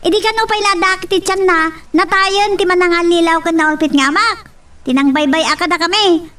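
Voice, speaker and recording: Very high-pitched sassy little Filipino girl. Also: a little fast, a bit bright, recorded from a distance. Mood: defiant. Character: sassy, wounded little girl questioning her mother and a stranger. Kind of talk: Filipino audio drama acting.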